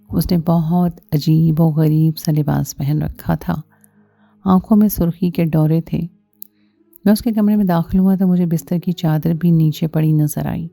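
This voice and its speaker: Very mellow, low-pitched woman narrating a story. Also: gravelly, dry recording. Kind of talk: scripted first-person story narration.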